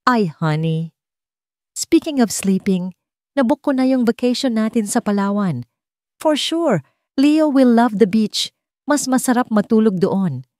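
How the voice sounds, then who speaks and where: A woman's voice: a little high, animated, measured pace, long pauses, warm tone, no room sound, voice pushed up. A woman storyteller puts on a cold, domineering Filipino rich wife from an audio romance. She is two-faced and used to giving orders. The sound is dry and close. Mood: sugary, cheerful. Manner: commanding, code-switching into English.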